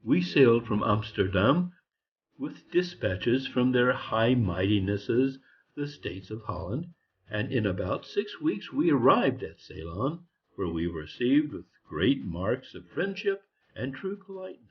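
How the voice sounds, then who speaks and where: A man reads a scripted storybook tale as a narrator, in a theatrical, measured storytelling manner. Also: mid-range voice, big pitch swings. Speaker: audiobook reader. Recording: dull lo-fi recording with music behind. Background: music.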